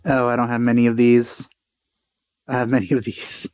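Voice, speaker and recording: A man's voice: medium pitch, level tone, normal speed, a little mellow. A man giving casual let's play commentary, informal and musing to himself as he goes. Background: faint game music.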